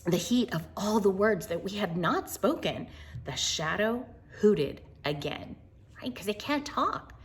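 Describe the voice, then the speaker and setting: A woman reading a picture book aloud to children in an expressive, wonder-filled way, with chatty asides and hushed moments. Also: medium pitch, measured pace. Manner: animated, wonder-filled, addressing young listeners, dropping to hushed tones.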